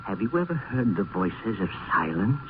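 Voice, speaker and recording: A man's voice: on the low side, big pitch swings, moderate pace. An actor playing a morbid, haunted man sliding into madness in an old radio horror play, intense and melodramatic. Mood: haunted, insistent. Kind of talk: vintage radio horror acting. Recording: tinny, noisy old radio recording.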